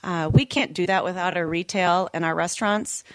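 An enthusiastic woman guest talks on a local radio show about her town. She speaks with an energetic, loud delivery. The sound is close and dry. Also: on the low side, normal speed, no echo. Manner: enthusiastic, self-described loud voice. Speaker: radio guest, board member. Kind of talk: casual radio interview.

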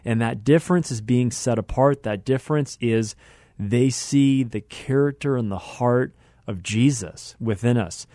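A man's voice: mid-range voice, measured pace, warm tone, no room sound, clean studio sound. An American man hosting a radio talk show, speaking conversationally and effusively, repeating phrases for emphasis. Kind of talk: conversational show introduction and interviewing.

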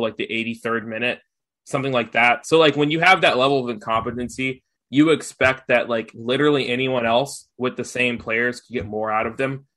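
A man's voice: medium pitch, pushed and bright. A young man, a guest on a sports podcast, chatting casually with many fillers and restarts. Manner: filler-heavy, lots of "like".

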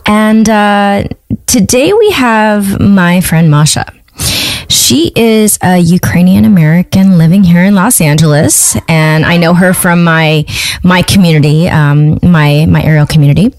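Casual podcast host, woman, lower register, easygoing and dry-miked. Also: a little slow, no room sound. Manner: relaxed, many fillers. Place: Los Angeles.

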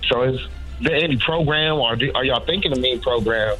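A man's voice: medium pitch, a little fast, sharp tone, rough recording. A man asking questions on a radio show in a casual, earnest and colloquial way. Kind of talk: casual, conversational. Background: music.

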